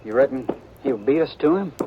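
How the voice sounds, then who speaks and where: A man's voice: medium pitch, animated, brisk, highs cut off, natural voice. An actor playing a polite, unassuming frontier townsman in a 1950s Western radio drama, with plain, deferential folksy speech. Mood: curious, wary. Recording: noisy vintage radio recording.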